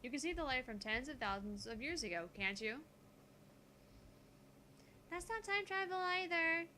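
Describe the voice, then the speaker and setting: Woman doing a calm gutsy genius teen girl explaining science, highish voice, bit of noise, visual novel. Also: normal speed. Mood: confident. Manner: explanatory, lecturing. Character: calm, gutsy eighteen-year-old genius girl lecturing on physics.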